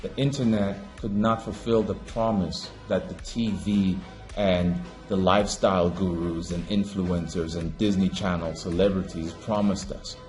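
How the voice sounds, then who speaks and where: A man from Africa giving an impassioned monologue as an online commentator, preachy and emphatic, swinging between raised declarations and low muttering. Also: steady tone, unhurried, darkish tone, faint music behind.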